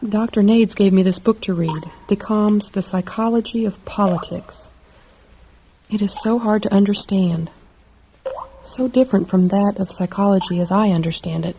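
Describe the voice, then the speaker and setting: A woman's voice: medium pitch, moderate pace, warm tone, slight background noise, natural voice. An actress playing an earnest, dedicated woman psychologist in a dystopian radio drama. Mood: reflective, tender. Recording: dull vintage radio recording, music behind.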